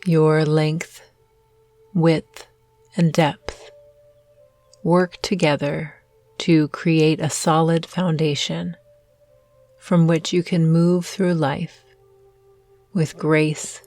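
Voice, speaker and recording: A woman guiding a meditation in a gentle, soothing, measured way with long pauses. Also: low-pitched, flat delivery, no echo. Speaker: meditation guide. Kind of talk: guided meditation narration. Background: soft meditation music.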